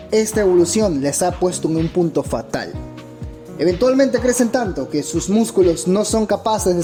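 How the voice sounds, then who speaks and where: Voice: medium pitch, warm tone. A narrator giving a scripted documentary-style account with a dramatic, opinionated storytelling manner. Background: background music.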